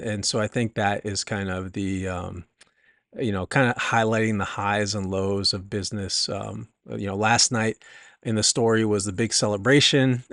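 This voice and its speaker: A man being interviewed as an author and business coach, talking conversationally in a halting, reflective, explanatory way. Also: lowish voice, vocal fry, fairly bright tone, dry recording, clean studio sound.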